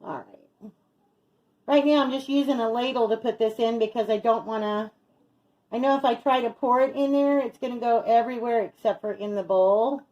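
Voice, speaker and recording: A woman's voice: on the high side, normal speed, a little mellow, lo-fi sound. A middle-aged woman chatting casually as she narrates each step of her home cooking as a vlog host. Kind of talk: casual chat while cooking. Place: home kitchen.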